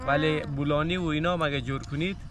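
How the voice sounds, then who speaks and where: A man's voice: fairly high pitch, music in the background. A man in his thirties with an Afghan Dari accent, chatting casually to the camera outdoors at a park picnic while cooking and tasting food. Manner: relaxed, chatty, talking to camera while eating. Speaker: vlogger.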